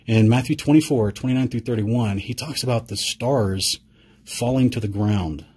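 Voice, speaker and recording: A man's voice: mid-range voice, moderate pace, mellow, husky. A man interviewing a guest in casual conversation, thinking aloud and searching for words with many fillers.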